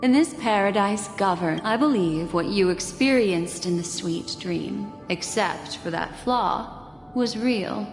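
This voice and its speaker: An actress voicing a calm, alluring woman who speaks with a teasing, playful tone in a game. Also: medium pitch, slow. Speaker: game character. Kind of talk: voiced game dialogue. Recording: game audio with long reverb and music.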